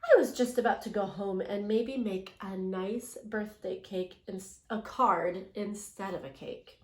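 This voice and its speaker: A woman's voice: medium pitch, unhurried. A woman teacher reading a storybook aloud expressively, stretching words dramatically and putting questions to her young listeners. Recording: echoey room.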